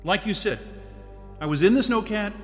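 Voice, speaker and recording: Frazzled loner, male, grumpy, profane, high bright voice, reverberant. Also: expressive, normal speed, soft background music. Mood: strained. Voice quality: blunt and profane. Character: stressed isolated man, grumpy, blunt, swearing. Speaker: lead character. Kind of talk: audio drama acting.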